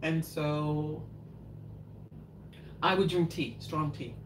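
A woman's voice: deep voice, steady tone, extremely slow. Older woman, chatty home cook, rambling answers, roomy kitchen, heard from a distance, noisy background. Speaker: cooking show host. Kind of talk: casual live chat while cooking. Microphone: far camera in front of counter.

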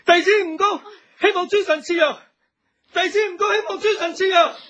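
A man's voice: extremely high pitch, steady tone, normal speed, bright, forceful tone. A man playing a devoted, impassioned young thief in a radio drama, speaking fervently and humbly with open emotion. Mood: pleading. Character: devoted, impassioned young male thief, pleading for his beloved. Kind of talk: Cantonese radio drama acting.

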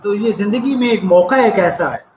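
A man's voice: high voice, normal speed, darkish tone, echoey room, a little hiss or hum. A man with a slight American accent, an entrepreneurship professor telling stories in an earnest, reflective way as an interview guest. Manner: earnest, reflective, sprinkles English phrases. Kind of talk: conversational interview storytelling.

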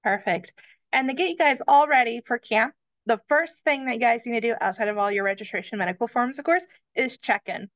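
A woman's voice: a little high, a little fast, a bit bright, dry recording, muffled recording. A woman presenting camp check-in and checkout rules on a video call, friendly and talking to listeners as "you guys". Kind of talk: slideshow briefing. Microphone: webcam on video call.

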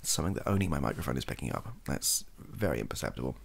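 A young British man, softly spoken, rambling hesitantly through an opinion monologue in a gravelly, husky voice and often trailing off. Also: a bass voice, sing-song, fast. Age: young adult. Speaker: ASMR YouTuber. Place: small home room, indoors.

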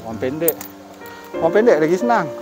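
High-voiced northern Malay man vlogging outdoors. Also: big pitch swings, a little fast, over music, low-quality recording. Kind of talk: casual walking vlog chat. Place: outdoors on forest park trails by a cave. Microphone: camera at arm's length.

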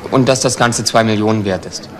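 A man plays a young homeless small-time crook in a radio crime drama. He is cagey and defensive, stumbling and repeating himself as he talks. The retro recording is clearly noisy. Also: lowish voice, close to an everyday voice. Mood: earnest, uneasy. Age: young adult. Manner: evasive, halting, repeats himself.

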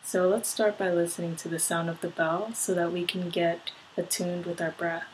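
A woman leading a guided meditation, giving gentle step-by-step instructions. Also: on the low side, level tone, moderate pace, poor audio. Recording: clear background noise, ambient sound.

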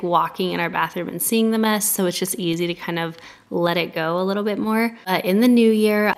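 A woman in her thirties talking in a friendly, upbeat and casual way, as a home vlogger chatting to viewers. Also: mid-range voice. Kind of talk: chatty vlog narration. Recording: music behind the voice.

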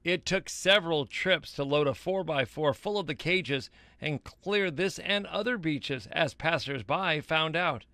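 A man in his sixties reporting live outdoors on a beach with a handheld mic, in a brisk, emphatic news delivery. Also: on the high side, noisy background. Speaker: field reporter.

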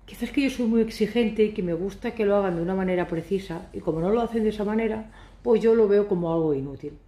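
A woman in her thirties, from Catalonia, chatting to camera in a casual, ironic, rambling way, a little hoarse. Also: medium pitch, quick pace, dark tone, echoey room. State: hoarse from shouting. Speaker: vlogger. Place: living room sofa, indoors. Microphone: phone camera at arm's length.

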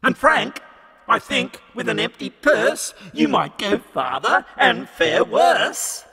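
Scornful shouting devil in a recited ballad, pitched way up and gravelly, as a man plays him. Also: very animated, takes it slowly, exaggerated voice. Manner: shouting, sneering, high strained voice. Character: Satan, scornful male devil who mocks the poor. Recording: long reverb hall.

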